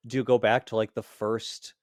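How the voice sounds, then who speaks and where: A man on a podcast panel in casual chat, quick to agree and chime in. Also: fairly low pitch, flat delivery, dry recording, clean studio sound.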